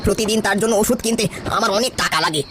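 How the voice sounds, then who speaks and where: Man doing high-pitched, sobbing poor villager. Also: rapid-fire, noisy background, close to an everyday voice. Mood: tearful pleading. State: crying. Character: frightened, pleading poor man sobbing about his sick wife.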